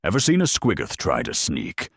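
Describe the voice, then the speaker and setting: A man voicing a gloomy, contemptuous ork mechanic in a fantasy audio story, with rough, slangy speech. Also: very deep voice, huge pitch swings, moderate pace, voice pushed up, full character voice. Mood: indignant griping. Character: gloomy, contemptuous ork big mek griping darkly.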